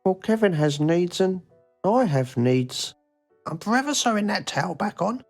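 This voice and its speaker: A woman's voice: fairly low pitch, expressive, moderate pace, a little mellow, faint music behind. A woman voicing an odd, lonely grown son in a game, earnest and quaintly formal. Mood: coy. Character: odd, lonely, long-confined middle-aged son.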